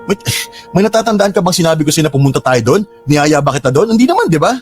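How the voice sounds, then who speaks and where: A young Filipino boyfriend in a radio drama, exasperated yet loving. His fairly high voice rises and falls dramatically as he protests, over a light music bed. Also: sing-song, fast talker. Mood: defensive, exasperated. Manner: emotive, protesting. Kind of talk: Tagalog radio drama acting. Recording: music bed under dialogue.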